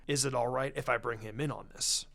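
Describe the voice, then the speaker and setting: Man as shrewd, low-voiced intelligence chief, music behind. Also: fairly even intonation, creaky, a bit bright. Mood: laid-back. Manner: careful, deliberate, low-key. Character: shrewd, laid-back male intelligence chief, careful thinker. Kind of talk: fan fiction story reading. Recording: music under the voice.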